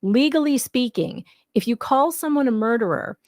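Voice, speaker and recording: A woman's voice: fairly high pitch, animated, moderate pace, darkish tone, no room sound, clean studio sound. A woman hosting a live commentary stream on a legal case, casual but argumentative and skeptical, repeating points for emphasis. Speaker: livestream commentator and video host. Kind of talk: casual live commentary on a legal case, reading claims aloud and rebutting them.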